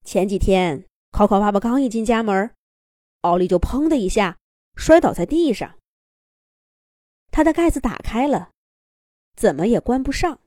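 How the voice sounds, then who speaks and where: A woman's voice: medium pitch, expressive, normal speed, pauses often, mellow, no echo, studio quality. A woman narrating a children's fairy tale expressively from a script, as a storyteller.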